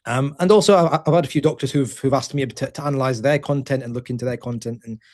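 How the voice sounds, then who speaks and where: A man, a GP, giving a webinar talk in a monotone voice, halting and often repeating words as he goes. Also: mid-range voice. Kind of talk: webinar presentation.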